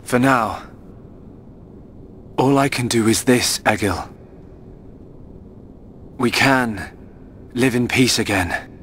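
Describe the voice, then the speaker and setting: A man acting an earnest, emotional teenage boy hero in a video game, with a sincere, expressive delivery. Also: medium pitch, slow, long pauses, a little hiss or hum. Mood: puzzled, sincere.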